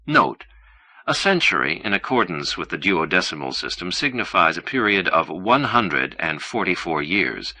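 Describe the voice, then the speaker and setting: A man reading literary fiction as an audiobook narrator, in a measured, formal and precise manner. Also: low voice, sing-song, sharp tone, dry recording.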